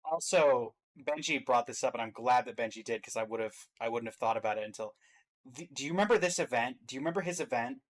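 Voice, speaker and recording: A man's voice: mid-range voice, fast. A man co-hosting a reaction video, chatting casually as he ranks game characters. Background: faint music.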